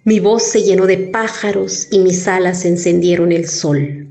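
A woman poet from Chiapas, Mexico, whose first language is Zoque, reciting her poems in a slow, measured way. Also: fairly low pitch, steady tone, mellow. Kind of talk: poetry recitation.

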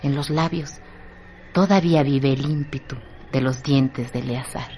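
Low-voiced woman narrating, noisy recording. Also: fairly even intonation, unhurried, dark tone. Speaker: radio drama narrator. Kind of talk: dramatic scripted literary narration. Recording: noisy radio drama track.

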